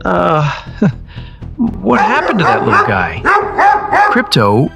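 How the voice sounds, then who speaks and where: A man voicing an excitable, anxious young boy in a motion comic. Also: sits high, takes it slowly, gravelly, loud music behind the voice, heavily acted. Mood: alarmed, curious.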